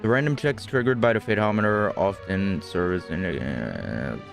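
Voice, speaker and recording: A man's voice: low voice, moderate pace, creaky. A man streaming a game, giving casual, short, laid-back reactions and reading game text aloud. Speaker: gaming streamer. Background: faint game music.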